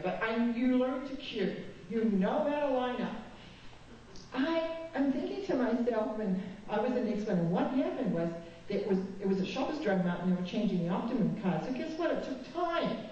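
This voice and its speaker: A woman's voice: fairly high pitch, dark tone, recorded from a distance, a little hiss or hum. A woman from New Zealand, a guest speaker at a spiritualist chapel, giving a spiritual talk with candid, self-mocking personal stories. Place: chapel hall. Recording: echoey dull hall recording from afar.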